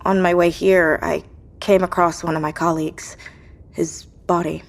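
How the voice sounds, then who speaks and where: This is an isolated woman survivor in an audio drama. Her voice is on the low side, and she speaks earnestly and hesitantly, anxious to understand. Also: flat delivery, normal speed, vocal fry. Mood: somber, halting.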